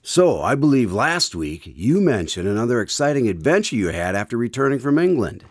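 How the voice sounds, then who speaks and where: An actor playing a friendly announcer and host in an old-time style radio drama. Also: male voice, mid-range voice, sing-song, a little fast, dry recording, clean studio sound. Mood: friendly, curious. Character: friendly male announcer and visitor drawing out stories.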